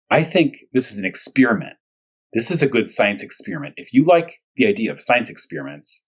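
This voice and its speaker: A man speaking as a first grade teacher at storytime, talking to young children in simple, short, repeated phrases, with a husky voice. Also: medium pitch. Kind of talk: storytime read-aloud and discussion for young children.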